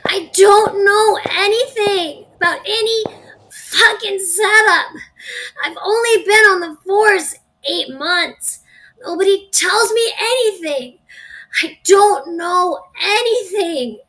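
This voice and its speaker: Woman playing a high, pleading captive rookie cop. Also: slow, pushed and bright, full character voice, rough recording. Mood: tearful, desperate. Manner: pleading, tearful. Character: terrified young female rookie cop held captive. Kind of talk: audio drama acting.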